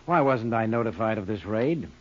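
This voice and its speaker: A man's voice: lowish voice, lively intonation, normal speed. A man playing a cool, hard gambling club boss with dry, controlled menace in a 1940s radio drama. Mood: cool, annoyed. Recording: dull, noisy old radio recording.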